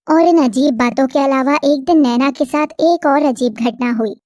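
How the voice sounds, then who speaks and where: A woman narrating an animated story in a steady storytelling cadence. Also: high-pitched, moderate pace, no echo, studio quality.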